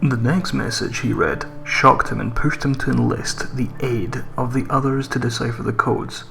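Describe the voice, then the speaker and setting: A man's voice: mid-range voice, gravelly, a bit bright, slight background noise. A man reading a scripted horror story as a narrator, with music behind him. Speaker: creepypasta narrator.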